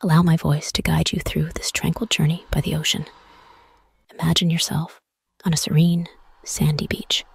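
A woman softly guiding a meditation in a whispery, husky voice, soothing and measured, with pauses between phrases. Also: low voice, level tone, no room sound. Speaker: meditation guide. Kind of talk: guided meditation, soft scripted narration.

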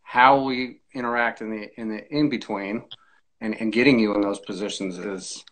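Measured man explaining in an interview. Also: lowish voice, fairly even intonation, a bit bright, rough recording. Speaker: air traffic controller, podcast guest. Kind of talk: conversational interview, explaining tips. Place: small indoor room, video call.

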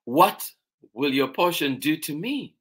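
A man's voice: on the high side, lively intonation, unhurried, slight background noise, voice pushed up. A man voicing a curious, grumbling young child in a storybook, plaintive and questioning.

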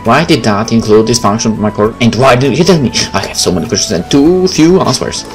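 A man's voice: medium pitch, animated, brisk. A man doing a let's play, reading visual novel text aloud and adding casual comments. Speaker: gaming video creator. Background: game music.

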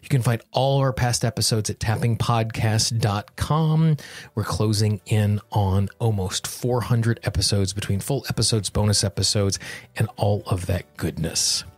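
Low, husky-voiced man hosting a podcast. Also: moderate pace, no room sound. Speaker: podcast host. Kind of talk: guided self-help tapping affirmations and podcast talk.

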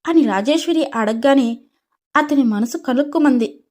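A woman's voice: high-pitched, normal speed, a little mellow. A woman storyteller reading a scripted story narration.

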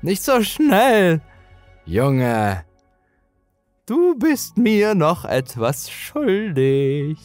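Menacing horror-story villain: raised pitch, drawn-out taunts, cackling, gloating. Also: male voice, huge pitch swings, a little mellow, no echo, faint music behind, exaggerated voice. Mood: menacing, condescending. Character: menacing, gloating sinister man with supernatural power.

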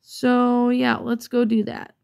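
A woman's voice: a little high, monotone, slow pace, gravelly, darkish tone. A woman casually commenting on her own gameplay, chatty and rambling with many fillers, slightly congested from a cold. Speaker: let's play gamer.

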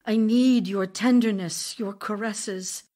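A woman's voice: mid-range voice, steady tone, slow, mellow, lightly acted. A woman playing an ailing, lonely actress wife in an audio drama of love letters, speaking in a theatrical, confiding way. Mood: pained, pleading.

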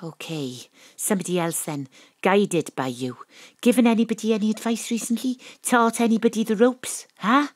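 A woman's voice: medium pitch, normal speed, no echo, studio quality, lightly acted. A woman playing a determined, wry Welsh private detective, acting and narrating in a noir audio drama. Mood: insistent.

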